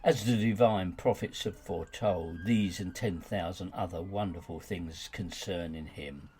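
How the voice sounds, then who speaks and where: A man reading his own argumentative nonfiction audiobook as scripted narration, with an emphatic, rhetorical delivery and a gravelly, husky voice. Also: sits low, unhurried, a little mellow, slight background noise.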